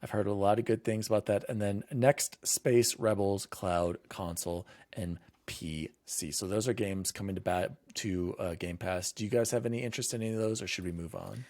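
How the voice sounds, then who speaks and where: A man's voice: lowish voice, steady tone, normal speed, few pauses, darkish tone, no room sound, clean studio sound. A man hosting a gaming podcast, chatting casually and reading out news lists in an informal, conversational way. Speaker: podcast host.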